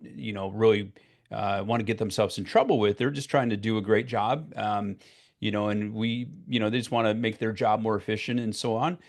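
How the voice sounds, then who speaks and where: Low-voiced man hosting a video call. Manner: filler-heavy, halting with many "you know"s. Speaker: webinar host.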